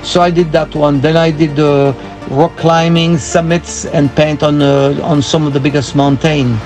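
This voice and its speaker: High-voiced older Belgian man telling stories. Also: level tone, unhurried, a little mellow. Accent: French-speaking Belgian. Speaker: interview guest, performance painter. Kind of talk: casual storytelling interview. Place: small living area inside a converted bus. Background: music.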